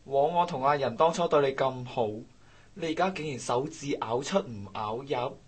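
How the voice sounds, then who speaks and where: A man's voice: mid-range voice, moderate pace, husky, natural voice. A man acting a resentful, sarcastic young coworker in a Cantonese radio drama, with a Hong Kong accent and a grumbling delivery.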